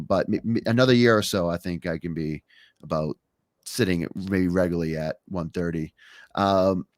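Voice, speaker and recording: A man's voice: deep voice, no room sound, clean studio sound. A young man hosting a podcast, talking casually and rambling, often stumbling and restarting his sentences. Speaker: podcast host.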